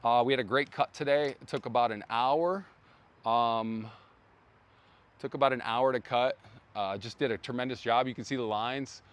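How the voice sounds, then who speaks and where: Man hosting a rambly, hoarse livestream. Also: mid-range voice, normal speed. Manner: rambling, lots of fillers and restarts. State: losing his voice. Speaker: brand livestream host. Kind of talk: casual livestream chat. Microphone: phone.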